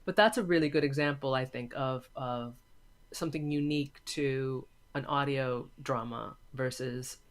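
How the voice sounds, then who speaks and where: A man being interviewed, talking thoughtfully and thinking aloud in short, halting phrases. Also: mid-range voice. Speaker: interview guest, audio drama maker and filmmaking teacher.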